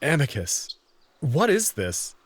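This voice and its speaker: A man's voice: mid-range voice, huge pitch swings, takes it slowly, husky, no echo, exaggerated voice. A man playing a bewildered young human who narrates his own story in a visual novel and speaks hesitantly. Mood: stunned, hesitant. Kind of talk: first-person visual novel narration and dialogue.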